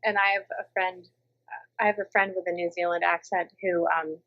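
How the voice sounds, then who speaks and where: A woman who grew up in Minnesota talks casually about her narration work, her voice animated and often dropping into the voices of people she quotes. The sound is a little dull and lo-fi, as from a remote call. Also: on the low side, fairly quick. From Minnesota, USA. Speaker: audiobook narrator. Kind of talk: casual interview chat.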